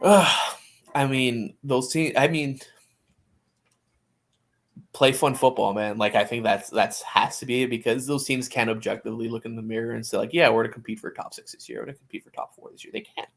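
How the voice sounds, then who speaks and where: A man's voice: medium pitch, steady tone, moderate pace, creaky. A man co-hosting a sports podcast in casual, opinionated conversation, often restarting his phrases. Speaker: soccer podcast co-host.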